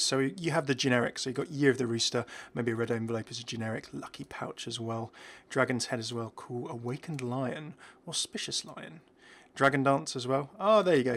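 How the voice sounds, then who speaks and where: A man's voice: mid-range voice, vocal fry, a bit bright. A man hosting a gaming video, talking through game cosmetics with casual, enthusiastic, rambling reactions.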